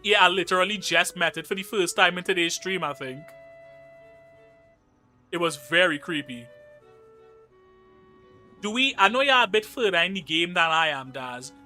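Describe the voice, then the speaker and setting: Bright-voiced Trinidadian man streaming a game. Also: high-pitched, expressive, normal speed, long pauses, faint music behind. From Trinidad and Tobago. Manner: chatty, joking, reads chat aloud. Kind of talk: casual live-stream gaming chat.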